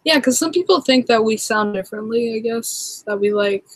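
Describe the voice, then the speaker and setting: A woman's voice: on the high side, normal speed, no room sound. A young woman from Toronto with a Canadian accent, casually chatting on a livestream, rambling with lots of fillers and restarts. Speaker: livestream host.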